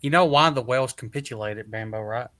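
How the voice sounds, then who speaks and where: A man's voice: mid-range voice, brisk, fairly bright tone, no echo. A man on a group video call who chats casually and teases the others with jokes. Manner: teasing, sets up jokes. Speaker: panelist.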